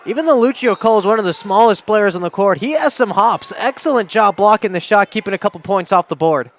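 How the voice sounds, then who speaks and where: A man's voice: high voice, animated, fast talker. A man giving live game analysis as a basketball color commentator. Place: indoor basketball gym during a game.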